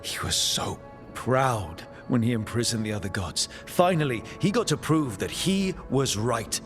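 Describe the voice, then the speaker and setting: A determined male adventurer, speaks in a plain, wry, conversational way. His medium-pitched voice leads the party over clearly audible music. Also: big pitch swings, unhurried.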